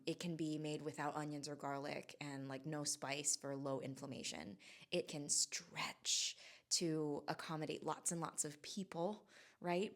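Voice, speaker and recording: Low-voiced, musing woman vlogger from Hawaii. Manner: musing, halting, with many restarts and fillers. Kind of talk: casual reflective monologue. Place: home in Southern California.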